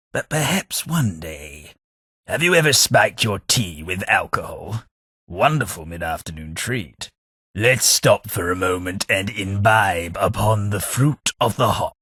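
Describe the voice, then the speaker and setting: An actor playing a gentle, hapless English gentleman and former clerk, with a soft, slightly husky voice and a mild, wry, self-deprecating manner. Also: fairly low pitch, dry recording, full character voice. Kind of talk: game character voice lines. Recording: dry studio booth.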